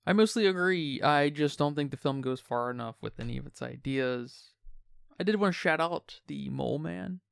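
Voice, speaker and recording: Smooth man's voice with some fry. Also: on the high side, normal speed, a bit bright, dry recording, studio quality. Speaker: podcast host. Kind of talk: casual film discussion.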